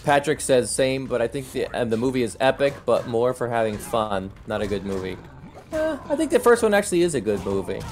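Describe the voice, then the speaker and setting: A man's voice: medium pitch, very animated, brisk. Casual male streamer, music behind him. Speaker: live stream host. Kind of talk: casual chat answering viewer comments. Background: background music.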